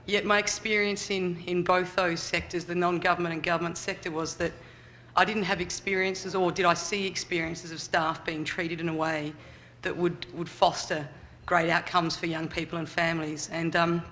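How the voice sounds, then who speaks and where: A man's voice: a little high, little pitch movement, normal speed, slight background noise. A man who leads a youth services group, telling his story at a conference in a big, chatty voice with lots of fillers. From Australia. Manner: loud, chatty, many fillers. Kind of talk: conference talk. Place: conference hall.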